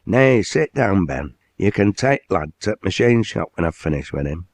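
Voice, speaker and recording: A man's voice: sits low, big pitch swings, dry recording, full character voice. A man doing a hearty Lancashire mill boss. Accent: Lancashire dialect. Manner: commanding, brisk, hearty. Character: blunt hearty older male mill owner.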